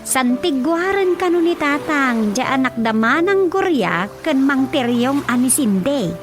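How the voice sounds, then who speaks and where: High-voiced curious Ilocano daughter. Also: female voice, very animated, measured pace, over music. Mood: concerned, explaining. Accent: Filipino, Ilocano. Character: curious, giggly young village daughter questioning her mother. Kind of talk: radio drama acting.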